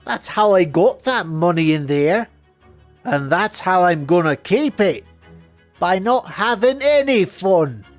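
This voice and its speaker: Animated miserly old duck tycoon voiced by a man. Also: sits high, takes it slowly, soft background music. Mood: indignant. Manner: indignant, ranting. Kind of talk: amateur cartoon voice acting.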